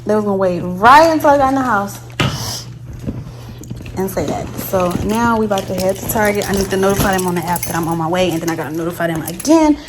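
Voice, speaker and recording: A young woman with a slightly hoarse throat, chatting casually to her viewers on a phone while going about her day. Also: mid-range voice, animated, fast talker. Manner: chatty, addresses viewers as y'all, talks to her baby between remarks. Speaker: lifestyle vlogger. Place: in a car and at home. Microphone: phone camera. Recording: noisy phone recording with road noise.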